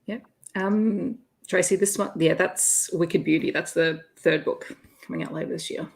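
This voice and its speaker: A woman's voice: lowish voice, steady tone, normal speed, vocal fry. A woman hosting an online readalong, chatting casually about books. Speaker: host of an online readalong livestream. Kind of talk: casual livestream chat about romance books.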